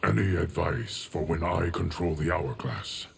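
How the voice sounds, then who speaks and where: Deep, solemn ageless guardian. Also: male voice, exaggerated voice. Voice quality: gravelly. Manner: formal, measured, grave. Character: solemn, stoic ageless male guardian of time. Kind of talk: video game voice acting.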